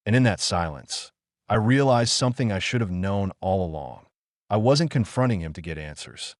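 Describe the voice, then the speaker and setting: A man performing a dramatic audio-play monologue as a wounded, solemn, defiant trauma survivor, speaking deliberately and emphatically with dramatic pauses. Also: fairly low pitch, expressive, no echo, studio quality, close to an everyday voice. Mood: resolute.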